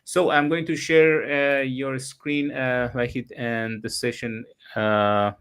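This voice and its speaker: A man hosting an online session, introducing the guest in a halting way with many hesitations. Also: mid-range voice, level tone, fairly bright tone, smooth, no room sound. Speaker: session host. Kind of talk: casual introduction.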